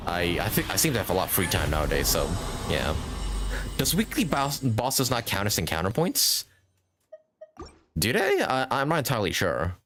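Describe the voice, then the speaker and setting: A man giving casual, rambling live commentary as he streams a game, thinking aloud and chatting to viewers. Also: on the low side. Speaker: game livestreamer.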